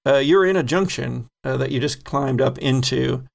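A man running a tabletop game as game master, setting scenes in an unhurried, descriptive way. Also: mid-range voice, gravelly.

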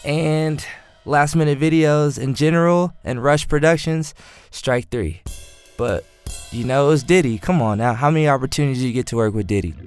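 A man's voice: on the high side, moderate pace, clean tone, no room sound, soft background music. A cinematographer telling a story about his own mishap on set, casually and with self-deprecating humor. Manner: animated, self-deprecating, acts out quotes. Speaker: cinematographer guest.

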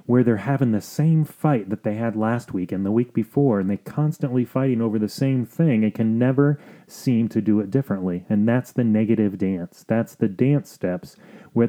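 A man hosting an advice podcast, explaining things in a measured, sometimes halting way. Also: on the low side, mellow. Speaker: podcast host.

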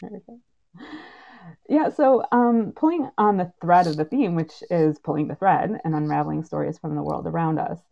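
Female panel moderator, casual, gravelly fry in a lowish voice, slightly muffled audio. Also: normal speed. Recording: slightly dull, muffled sound.

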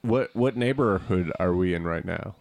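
A young man with a low-pitched voice co-hosts a podcast in loose, casual chat. He rambles, trailing off and restarting his sentences with plenty of "uh" and "like". The recording is dry and close, with no room sound. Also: moderate pace, a little mellow, dry recording. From Cape Cod, Massachusetts. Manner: rambling, hesitant, many fillers and restarts. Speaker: podcast co-host.

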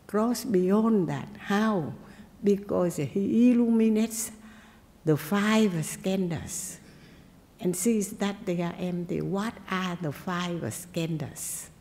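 Soft-spoken nun teaching meditation, english not her first language, low gravelly voice, hall reverb. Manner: gentle, simple phrasing with pauses and asides. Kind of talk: dharma talk and meditation teaching.

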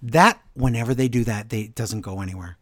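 A man co-hosting a podcast, recapping wrestling shows in an animated, storytelling way and acting out what the wrestlers said. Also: medium pitch, a little fast, no echo, clean studio sound. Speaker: podcast co-host. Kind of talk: casual wrestling recap chat.

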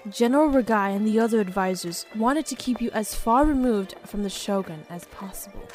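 A woman's voice: medium pitch, brisk, darkish tone, soft background music, close to an everyday voice. A woman voicing a cheerful female companion who explains things in a teasing, upbeat way.

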